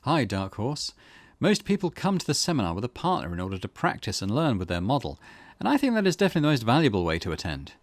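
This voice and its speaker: A man's voice: medium pitch, wildly swooping pitch, fast, hardly any pauses, no echo. A man reading the part of a courteous, businesslike seminar organiser in a visual novel, instructive and matter-of-fact.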